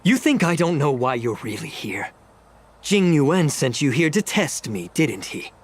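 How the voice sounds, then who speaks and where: An actor playing a scheming, self-righteous clan elder villain in a video game, speaking in a haughty, theatrical, formal way. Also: male voice, mid-range voice, big pitch swings, a little slow, husky, heavily acted. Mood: accusing, defiant. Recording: game music behind the voice.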